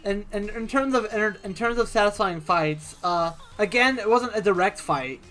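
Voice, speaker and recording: A young woman co-hosting a casual movie review chat, enthusiastic and halting, often repeating herself. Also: medium pitch, a bit bright, soft background music. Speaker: podcast co-host.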